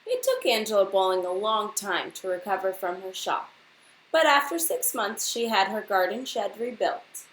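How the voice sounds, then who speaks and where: A woman reading a children's storybook aloud like a teacher to a class, expressively and deliberately, putting questions straight to her young listeners. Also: mid-range voice, big pitch swings, a bit bright, low-quality recording.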